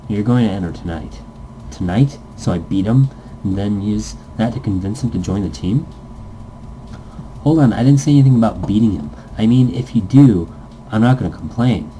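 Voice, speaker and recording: A man's voice: on the low side, dark tone, slight background noise, poor audio. A man casually reading a visual novel game aloud, stumbling over lines and tossing in goofy asides.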